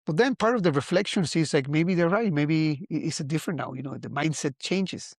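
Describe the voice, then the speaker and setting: Man in his forties, from Costa Rica, fairly high voice, dry close sound, musing and halting. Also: gravelly, dry recording. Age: 40s. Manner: musing, halting, many restarts. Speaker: vlogger. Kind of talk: reflective casual monologue.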